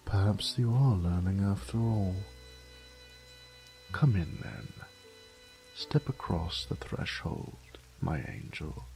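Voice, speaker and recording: A man's voice: low-pitched, lively intonation, slow, vocal fry, long pauses, darkish tone, soft background music, exaggerated voice, low-quality recording. A man playing an ancient, haughty, predatory vampire lord in an audio drama, with a pompous, condescending, theatrical drawl and whispered asides. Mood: smug, seductive. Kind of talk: scripted roleplay audio drama.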